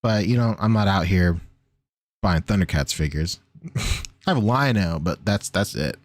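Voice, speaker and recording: A man in his thirties chatting casually as a podcast co-host, joking, slangy and quick to swear. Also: low-pitched, animated. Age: 30s. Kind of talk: casual chat about toys and cartoons.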